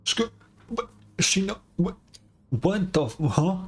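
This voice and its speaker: A man's voice: sits high, very animated, slow pace, slightly rough. A man streaming a game, chatting informally and reacting with dramatic, joking commentary. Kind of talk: casual gameplay commentary, reading and reacting. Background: game music.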